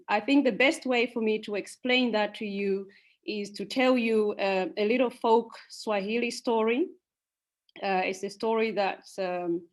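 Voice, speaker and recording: A young woman from Tanzania speaking to an audience and then telling a folk story, unhurried, with many ums and uhs. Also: medium pitch. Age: young adult. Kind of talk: storytelling talk.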